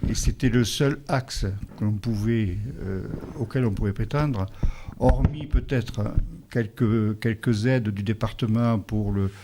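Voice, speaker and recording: Mayor, low gravelly dark voice, stop-start answers in a town meeting hall. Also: male voice, slight background noise. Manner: halting, restarts, rounding figures loosely. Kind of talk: public meeting presentation and answers.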